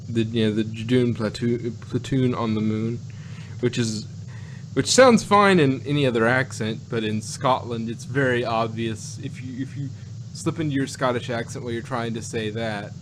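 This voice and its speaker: A man co-hosting a casual talk show, speaking in a halting, rambling way. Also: mid-range voice. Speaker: podcast co-host. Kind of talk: casual chat. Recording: clearly noisy, steady hum.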